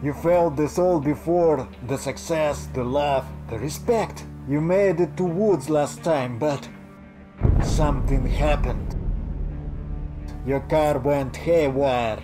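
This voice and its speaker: A man's voice: a little high, slow pace. An actor voicing an earnest young male sidekick in a video game cutscene. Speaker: friend Zhenka. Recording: music playing behind.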